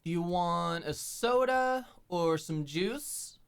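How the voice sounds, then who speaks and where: A woman's voice: on the low side, lively intonation, slow, vocal fry, clean tone, no room sound, exaggerated voice. A woman playing an affectionate, fussing and coaxing ex-manager girlfriend in an intimate ASMR roleplay. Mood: doting, fussing. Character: doting, eager, dominant ex-manager girlfriend at home.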